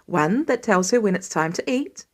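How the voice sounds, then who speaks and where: A woman reading fan fiction aloud as an expressive audiobook-style narrator. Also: mid-range voice, normal speed. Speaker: podfic reader.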